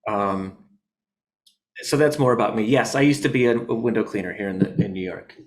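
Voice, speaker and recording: A man as a comedian guest in a casual video-call interview, rambling with deadpan jokes and many fillers. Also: on the low side, fairly quick. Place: his home in New York.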